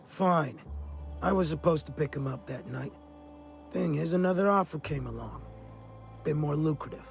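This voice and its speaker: An actor playing a sly, boozy old mercenary with a gravelly voice and folksy, slangy talk in a video game. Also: male voice, on the high side, moderate pace, faint music behind. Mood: sly, cynical. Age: older. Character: gruff, sly, boozy old mercenary drifter with a guilty streak. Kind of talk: video game character dialogue.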